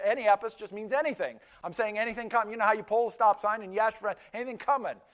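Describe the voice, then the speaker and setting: A man's voice: high voice, fairly bright tone. A man in his fifties whose first language was Pennsylvania Dutch, preaching a sermon in a conversational, storytelling way with frequent restarts and repeated words. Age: 50s. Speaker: pastor.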